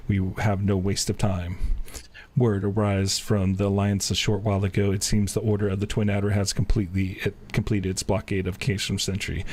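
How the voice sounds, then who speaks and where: A man live streaming a game, chatting and reading dialogue aloud in a flat, stumbling way. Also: deep voice, slight background noise.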